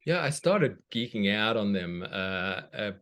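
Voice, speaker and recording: A man's voice: fairly low pitch, sing-song, unhurried, a bit bright. Reflective man from South Africa. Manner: thoughtful, searching, with frequent restarts. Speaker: guest, children's book author. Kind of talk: reflective conversation.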